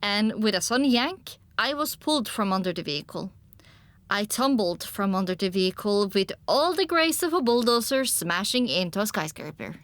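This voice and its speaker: A woman narrating a scripted first-person story for an audiobook in an expressive storytelling manner. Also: mid-range voice, normal speed, no echo.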